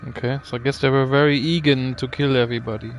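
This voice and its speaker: A man's voice: mid-range voice, fairly even intonation, fast talker, faint music behind. A man giving casual gameplay commentary, muttering wryly and thinking aloud as he plays. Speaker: gaming video host.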